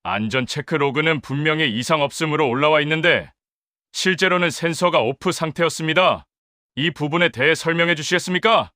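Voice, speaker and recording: Guy playing formal safety boss grilling staff, bright forceful voice, slightly high. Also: fairly quick, dry recording, studio quality. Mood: stern. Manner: formal, interrogating. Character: formal, probing male safety team manager. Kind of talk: dramatized audiobook dialogue.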